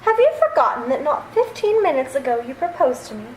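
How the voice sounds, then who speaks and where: A woman's voice: high-pitched, very animated, brisk, a little mellow. A woman playing an insistent, indignant young fiancée, exclamatory, in old-time radio theater style. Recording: echoey, faintly noisy stage recording.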